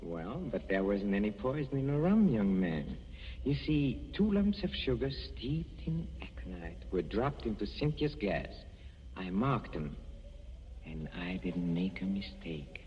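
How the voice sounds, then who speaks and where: An actor playing a scheming, murderous professor in a 1940s radio suspense drama, with a falsely genial, chuckling menace. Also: male voice, on the low side, very animated, measured pace. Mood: smug, menacing. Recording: muffled, noisy, reverberant vintage radio recording.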